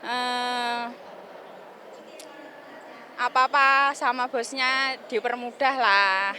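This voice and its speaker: A woman who works as a domestic worker, answering a street interview slowly and hesitantly, with pauses. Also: a little high, steady tone, bright, forceful tone, a little hiss or hum.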